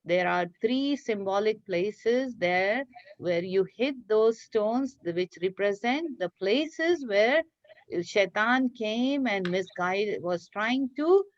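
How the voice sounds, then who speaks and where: A woman's voice: mid-range voice, animated, slow pace. A woman teaching a children's craft class over a video call, speaking in an encouraging way and praising her young pupils. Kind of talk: interactive online children's lesson. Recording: dull lo-fi online call, echoey room.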